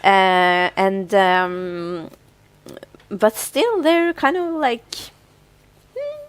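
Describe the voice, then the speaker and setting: A woman's voice: medium pitch, animated, extremely slow, clean tone. A woman co-hosting a podcast in casual, giggly conversation. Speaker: podcast co-host. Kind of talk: casual chat.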